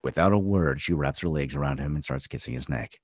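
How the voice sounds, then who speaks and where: A man's voice: very deep voice, fairly quick, no room sound, studio quality. A man narrating stage directions as scripted narration at a table read.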